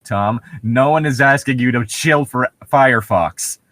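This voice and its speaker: A man's voice: medium pitch, unhurried, fairly bright tone, no room sound. A man hosting a comedic podcast in casual banter, swearing and sounding jokingly exasperated. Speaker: podcast host.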